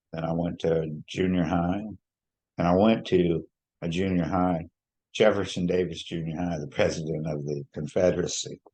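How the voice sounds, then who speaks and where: Low, mellow-voiced man in his sixties. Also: slightly rough. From Hawaii. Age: 60s. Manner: unhurried, reflective, with many fillers and restarts. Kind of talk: personal storytelling.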